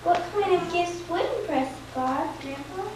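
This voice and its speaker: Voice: extremely high pitch, unhurried. A performer playing an earnest, hopeful, devout young child in a puppet show. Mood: curious, hopeful. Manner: earnest, wishful. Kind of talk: stage puppet show dialogue. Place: church, recorded from afar. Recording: very echoey, distant, loud-noise church recording.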